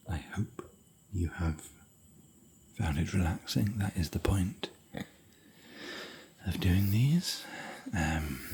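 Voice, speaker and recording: A man whispering softly in a rambling, chatty, gentle way while hosting an ASMR hotel room tour. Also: deep voice, a little hiss or hum. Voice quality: soft whisper.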